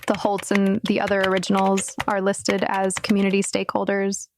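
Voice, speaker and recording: A woman playing a blunt, determined young hacker sleuth in an audio drama, with a deadpan manner. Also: medium pitch, a little fast, background noise, lightly acted.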